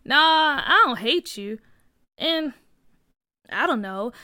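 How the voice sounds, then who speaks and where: Boy ringleader female storyteller acting: cocky, slangy, high-pitched, bright, children's story. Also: lively intonation, slow, dry recording, studio quality, heavily acted. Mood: gruffly sincere. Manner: slangy, swaggering. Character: cocky teasing boy ringleader with a hidden heart. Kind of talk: acted children's story dialogue.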